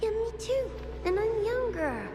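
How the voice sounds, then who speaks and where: Very high-pitched bold little girl. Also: very animated, measured pace, heavily acted. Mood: teasing, daring. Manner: urgent, egging on. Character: bold, teasing little girl, younger sister. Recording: music behind the voice.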